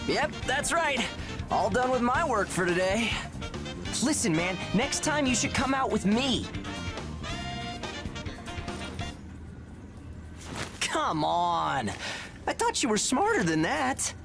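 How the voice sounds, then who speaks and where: Brash young fighter from a game, teasing show-off, super lively, music under it. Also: male voice, very high voice, unhurried, vocal fry, exaggerated voice. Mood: boastful, playful. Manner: teasing, boastful. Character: cocky, chatty young male fighter, brash and friendly. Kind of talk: video game cutscene voice acting. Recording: music behind the voice.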